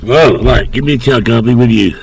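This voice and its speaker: A man's voice: medium pitch, a little fast, vocal fry, a little hiss or hum. A man with a slight cough and sniffles, muttering hesitantly as he chats on a livestream while playing. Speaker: streamer playing online roleplay.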